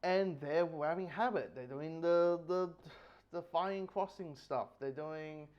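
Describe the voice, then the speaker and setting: A man with a somewhat high-pitched voice talks through a casual review. He rambles and halts, restarting phrases and repeating himself as he goes. The recording is dull and lo-fi. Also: clean tone. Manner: halting, false starts, repeats himself. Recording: dull lo-fi microphone sound.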